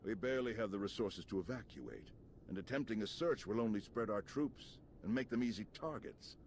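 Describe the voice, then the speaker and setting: A grave game general with a very low voice that rises and falls expressively. He speaks formally and with measured weight, weary but stirring, over faint background noise. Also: lively intonation, a little mellow. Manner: measured, formal, solemn delivery. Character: grave, gracious, weary male Republic general, stirring orator. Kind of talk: dramatic video game voice acting.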